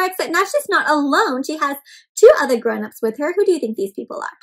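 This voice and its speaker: A young woman with a high voice hosts story time for little kids. She speaks in an animated, expressive way, pausing to ask the children questions as she reads. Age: young adult. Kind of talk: interactive read-aloud for young children. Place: small indoor room at home with bookshelves and plants.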